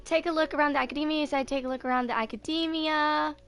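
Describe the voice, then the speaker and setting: Casting: woman, 20s, casual game streamer, bright forceful tone with noticeable fry, rambling delivery. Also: sits high, steady tone, fast talker, soft background music. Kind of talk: casual live gameplay chat.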